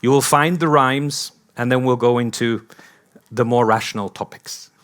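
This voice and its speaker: A man's voice: mid-range voice, a bit bright. A man with an American accent, born in Hong Kong, giving a conference talk as a company founder, building up a string of rhetorical questions. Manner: slow, rhetorical, repeating "what if" questions. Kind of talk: conference speech.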